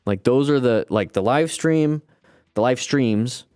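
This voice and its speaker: A man's voice: medium pitch, measured pace, clear, resonant tone, no room sound, clean studio sound, faint music behind. A man in his late thirties hosting a casual livestream, chatting loosely with viewers and answering their comments. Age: 30s. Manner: rambling, relaxed, talks to chat as "guys". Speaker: livestream host.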